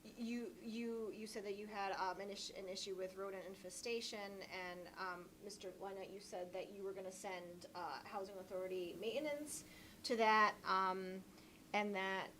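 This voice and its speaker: A woman's voice: mid-range voice, fairly even intonation, moderate pace, fairly bright tone, slight background noise, low-quality recording. A woman chairing a public board meeting, speaking hesitantly as she works through procedure.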